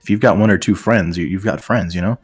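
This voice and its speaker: A man's voice: low voice, fast, breathy edge. A man chatting casually as a comedy commentator, joking in a mock-earnest way. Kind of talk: casual comic chat.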